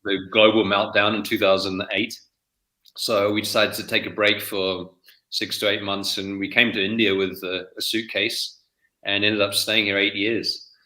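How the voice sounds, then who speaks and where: A middle-aged man from New Zealand talks loosely in an interview, stopping and restarting his sentences. His voice sits a little low, with a bright and forceful edge. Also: level tone, normal speed, low-quality recording. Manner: rambling, with frequent restarts and fillers. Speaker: guest author. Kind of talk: casual interview conversation.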